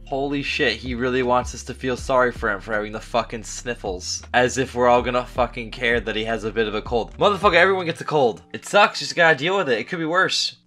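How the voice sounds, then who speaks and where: A man's voice: medium pitch, fast, few pauses, bright, forceful tone. A man giving profane, sarcastic voice-over commentary that mocks a streamer. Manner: profane, mocking, ranting. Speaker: commentary narrator mocking a streamer.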